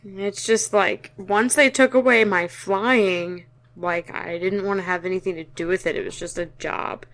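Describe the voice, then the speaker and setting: A young woman chatting as a podcast co-host in an even, mid-pitched voice. She jokes and often trails off, then corrects herself mid-sentence. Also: normal speed, hardly any pauses. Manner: joking, trails off and restarts, self-correcting. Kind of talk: casual chat.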